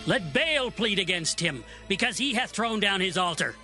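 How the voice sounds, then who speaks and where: A defiant, indignant ancient Israelite father declaims his challenge in a scripture audio drama. His voice is pushed extremely high, over prominent music. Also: lively intonation, moderate pace, sharp tone, heavily acted.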